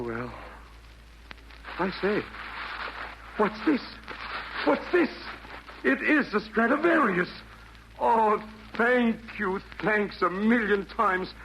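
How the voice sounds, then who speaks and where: An actor in a 1940s radio play voicing a repentant Roman emperor, earnest, hesitant and theatrical, speaking in rhymed verse. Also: male voice, high-pitched, a bit bright, full character voice. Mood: overjoyed, excited. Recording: noisy vintage 1940s radio broadcast.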